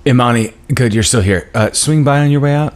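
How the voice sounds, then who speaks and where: A man's voice: mid-range voice, brisk, close to an everyday voice. A man playing an awkward, apologetic office boss in his forties in a screenplay table read. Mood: casual. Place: indoor room, video call.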